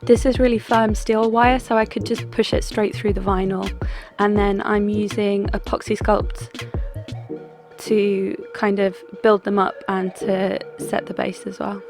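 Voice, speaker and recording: A young adult doll artist talking through their craft in a relaxed, chatty way, with plenty of ums and restarts. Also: female voice, mid-range voice, measured pace. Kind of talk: casual vlog talk and craft voice-over. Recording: music bed under the voice.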